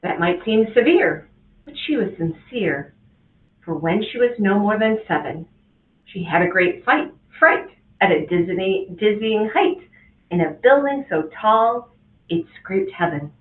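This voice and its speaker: A woman reading a rhyming picture book aloud to children as a guest reader, with an expressive, sing-song delivery. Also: mid-range voice, a little slow. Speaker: guest reader, school administrator. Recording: tinny, echoey, from a distance.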